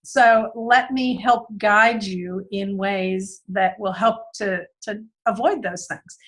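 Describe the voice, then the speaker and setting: A woman guest who is losing her voice, answering interview questions earnestly with frequent "um" pauses. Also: medium pitch, steady tone, a bit bright. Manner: earnest, many "um" fillers and repeated words. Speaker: guest speaker on business systems.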